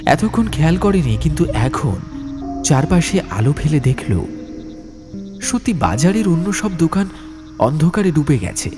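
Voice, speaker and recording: A man's voice: a little high, very animated, a little slow, pauses often, music in the background. A man narrating a horror audiobook in a dramatic, suspenseful storytelling style.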